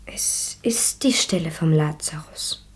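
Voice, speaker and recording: An actress playing a gentle, devout young woman in a German radio drama, speaking in a hushed, anxious, insistent way. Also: low voice, takes it slowly, whispered. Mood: quietly insistent.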